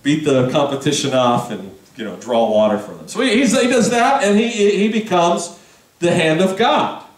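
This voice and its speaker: A man's voice: fairly high pitch, animated, normal speed, roomy sound, distant mic. A man preaching a sermon to his congregation in a chatty, conversational way, with asides and questions to the room. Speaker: pastor. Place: church sanctuary.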